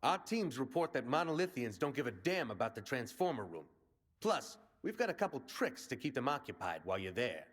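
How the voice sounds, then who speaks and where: A man's voice: mid-range voice, big pitch swings, fairly quick, a bit bright. An actor playing a brash male resistance commander in a video game, rallying and plain-spoken like a soldier. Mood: confident briefing.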